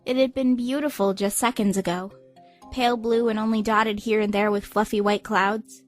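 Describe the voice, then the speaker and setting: A woman's voice: medium pitch, fairly even intonation, moderate pace, faint music behind. A woman reading scripted, dramatic audiobook narration.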